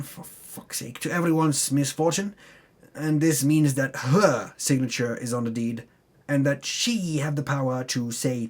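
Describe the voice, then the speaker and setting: High-pitched, animated man giving a dramatic recap. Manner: dramatic, sweary, breaks off to mock own slips. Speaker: host recapping a tabletop game campaign. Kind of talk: theatrical scripted narration with off-the-cuff asides.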